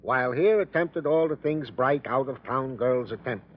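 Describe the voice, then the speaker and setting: A man's voice: mid-range voice, normal speed, natural voice. An actor playing a wordy, fatherly police sergeant in a radio drama, talking in a florid, mock-formal way. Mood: ornate, self-pleased. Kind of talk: 1950s radio character acting. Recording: muffled, noisy old radio recording.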